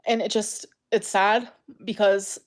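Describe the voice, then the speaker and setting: A woman speaking in a personal confessional vlog, earnest, halting and self-pitying. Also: mid-range voice, flat delivery. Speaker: vlogger.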